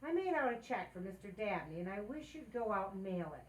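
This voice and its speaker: A woman playing a scheming, excitable housewife with dry wit, wisecracking theatrically in an old-time radio sitcom. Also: medium pitch, brisk, close to an everyday voice. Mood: wry.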